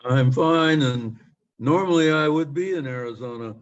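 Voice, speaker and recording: A man's voice: medium pitch, moderate pace. Guy telling a little story on a zoom call, audio kinda muffled. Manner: chatty, tells a story. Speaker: member checking in. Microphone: video call.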